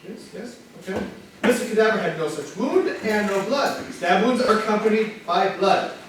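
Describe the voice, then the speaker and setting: Distant echoey recording, high-pitched man acting a stubborn sleuth, defensive, halting, emphatic stage comedy delivery. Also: natural voice. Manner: hesitant, defensive, emphatic repetition. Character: stubborn, defensive male amateur sleuth arguing his theory. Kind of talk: comedy play staged reading. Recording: very echoey room, distant mic, faint noise.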